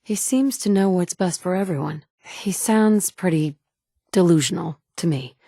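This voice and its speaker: A woman's voice: lowish voice, vocal fry, dark tone, no room sound, studio quality. An actress voicing a tough, blunt woman survivor, the player character in a game, who is curt and assertive. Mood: firm. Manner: curt and assertive, quick to snap back. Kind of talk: game voice lines.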